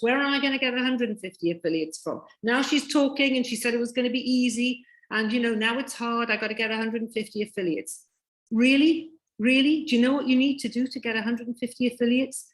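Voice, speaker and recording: Woman presenter, slightly high voice, croaky, insistent pitch over a video call. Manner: insistent, repeats points emphatically. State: croaky from illness. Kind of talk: sales pitch.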